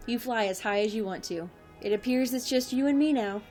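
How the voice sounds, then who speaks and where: A woman's voice: on the high side, fairly quick, a little mellow, natural voice. A woman playing a sincere, commanding leader with a plain-spoken, earnest manner in an audio drama. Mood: tender. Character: sincere, commanding female leader with dry humour. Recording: music underneath.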